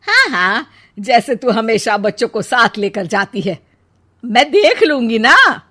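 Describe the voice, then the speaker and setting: A woman voicing a sharp-tongued older mother-in-law in a cartoon story, loud and emphatic. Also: a little high, sing-song, unhurried, full character voice. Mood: brisk.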